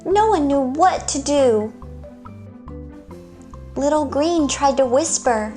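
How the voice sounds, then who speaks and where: A woman hosting story time, reading aloud to young children in an expressive, deliberate storytelling manner. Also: sits high, soft background music.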